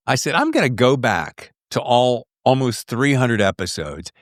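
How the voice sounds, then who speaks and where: A man hosts a podcast in loose, casual conversation, his pitch swinging widely as he rambles along. He is from the US South and leans on folksy slang. The sound is close and dry, with very little room to it. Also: sing-song, unhurried, dry recording. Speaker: podcast host. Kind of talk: casual interview chat.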